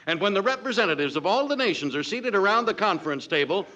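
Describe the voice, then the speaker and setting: A man's voice: high voice, animated, fast, pushed and bright. A mock-pompous, glib man doing 1940s radio announcer banter and sales patter. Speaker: radio announcer and comic foil. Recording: muffled, noisy old 1940s radio recording.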